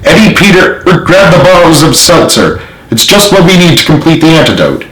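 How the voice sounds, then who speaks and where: Eager kid heroine, played by a male reader: high, urgent, storybook adventure, echoey room. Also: big pitch swings, moderate pace, a crowd in the background. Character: eager, determined young girl on superhero adventure.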